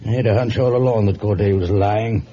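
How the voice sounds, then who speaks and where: A man playing a measured, reflective, gentlemanly judge in a vintage radio drama. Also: fairly low pitch, fairly even intonation, natural voice. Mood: genial. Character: genial, measured male judge with somber reticence. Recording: muffled, hissy old radio recording.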